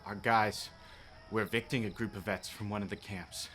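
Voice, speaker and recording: An actor playing an earnest, rule-bound policeman in a radio play, with a halting delivery. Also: lowish voice, fairly even intonation, noisy background, lightly acted. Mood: shaken.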